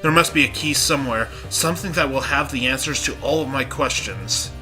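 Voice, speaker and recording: A man's voice: mid-range voice, a little fast, pushed and bright, soft background music. A congested man reading the uneasy inner monologue of a young female student in a game.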